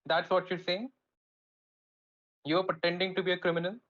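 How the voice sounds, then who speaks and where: Man, higher pitch, incredulous and challenging, muffled lo-fi mic. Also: flat delivery, a bit bright. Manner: incredulous, challenging, drawn-out questions. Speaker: debate participant. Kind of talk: online voice chat argument.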